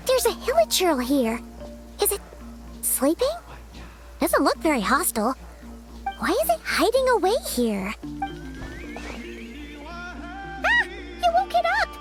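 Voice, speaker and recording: A woman voicing a small, chirpy, excitable childlike fairy companion in a video game. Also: a little slow, pauses often, exaggerated voice. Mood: curious. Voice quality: squeaky, childlike. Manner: refers to herself in third person, exclamatory. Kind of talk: video game character dialogue. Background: background game music.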